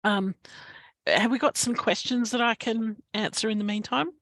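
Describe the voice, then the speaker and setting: An Australian woman giving advice in an online Q&A, hesitant with many restarts, her voice going hoarse. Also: a little high, fairly even intonation, moderate pace, fairly bright tone, dry recording. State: losing her voice, coughing. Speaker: guest speaker on climate reporting. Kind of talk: online webinar Q&A.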